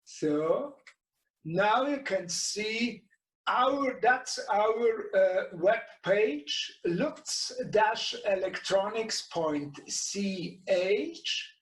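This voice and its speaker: A middle-aged man with a Swiss German accent and German as his native language, presenting an informal tutorial in halting English, searching for words. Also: sits high, expressive. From Switzerland. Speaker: webinar presenter and radio shop co-owner. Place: small indoor radio workshop. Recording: echoey room, distant mic.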